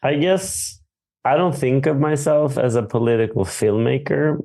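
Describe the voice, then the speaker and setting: A man from Sweden, a film director in a stage Q&A, speaking in a thoughtful, halting way with many fillers and restarts. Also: mid-range voice, creaky, dark tone. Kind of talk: Q&A conversation on stage. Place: theater.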